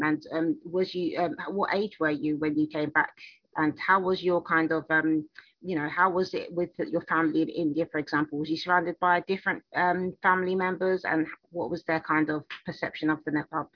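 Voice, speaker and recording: A woman's voice: deep voice, no room sound, highs cut off. A woman hosting a podcast, introducing the show and interviewing a guest in a conversational way.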